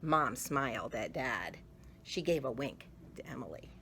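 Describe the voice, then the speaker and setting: A woman reading a picture book aloud to children in a theatrical way, with dramatic emphasis and drawn-out words. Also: rumbling low, lively intonation, sharp tone, breathy edge. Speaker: children's story reader.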